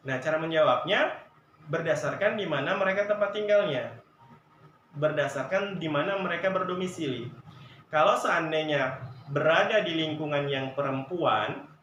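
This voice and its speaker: A male sociology teacher giving an online lesson, explaining through examples, asking the class rhetorical questions and filling pauses with "nah.". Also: fairly high pitch, a bit bright, lo-fi sound. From Javanese heritage, Indonesia. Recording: echoey room with faint noise.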